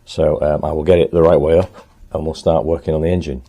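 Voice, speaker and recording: A man talking casually to the camera in his home workshop, thinking aloud as he works, a little hoarse. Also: rumbling low, little pitch movement, fast, mellow. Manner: chatty, thinks aloud while working, frequent ums. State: slightly hoarse, clearing throat. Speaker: motorcycle restorer and channel host.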